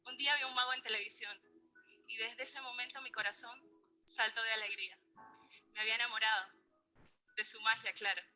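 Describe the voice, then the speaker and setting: A woman's voice: on the high side, fairly even intonation, brisk, long pauses, bright, forceful tone, rough recording. A woman magician from Venezuela telling her own story on stage, stating exact figures with care. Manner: precise, counting out exact figures. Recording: played clip with music behind. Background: music.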